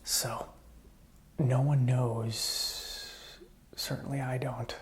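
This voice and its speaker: A man playing a calm, helpful survivor in a zombie apocalypse ASMR roleplay, whispering and speaking softly in a hesitant, intimate, musing way. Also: medium pitch, drawn-out words. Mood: hushed, uneasy.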